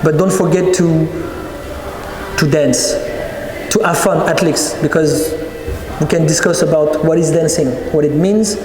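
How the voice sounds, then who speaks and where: A man in his thirties with a French accent, answering interview questions as a dance teacher, often pausing to search for words. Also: fairly high pitch, steady tone. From France. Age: 30s. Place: large hall at a dance event. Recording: echoey hall with music behind. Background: music playing.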